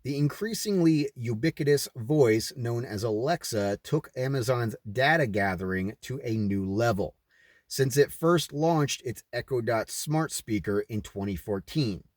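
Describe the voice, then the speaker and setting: A man's voice: mid-range voice, expressive, unhurried, darkish tone, dry recording, studio quality. A man reading aloud and adding his own off-the-cuff commentary, with emphatic, rhetorical delivery. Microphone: recording himself on camera.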